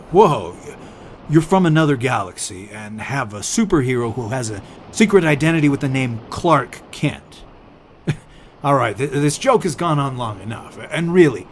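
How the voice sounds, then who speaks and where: An actor playing a skeptical, exasperated everyman in an audio story, halting and stammering in disbelief. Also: on the high side, a little hiss or hum. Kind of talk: audio fiction character acting.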